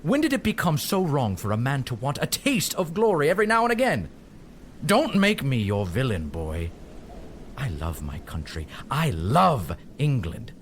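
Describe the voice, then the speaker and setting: A bitter older knight of an audio drama, proud and contemptuous. The voice swings widely between theatrical bluster and low menace, with faint ambient noise behind it. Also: male voice, huge pitch swings, normal speed. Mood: scornful, impassioned.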